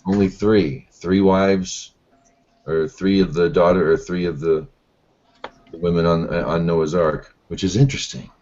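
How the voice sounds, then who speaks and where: A man's voice: sits low, fairly even intonation, unhurried, darkish tone. A man hosting a casual online group discussion, rambling and unsure of himself, with many restarts. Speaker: host. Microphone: video call. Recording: dull lo-fi online call audio.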